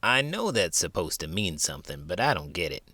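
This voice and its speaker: A man voicing a puzzled, blunt but good-natured sidekick on a children's story show, gruff and clueless. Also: sits low, sing-song, brisk, bright, forceful tone, no room sound, studio quality, voice pushed down, exaggerated voice. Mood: baffled.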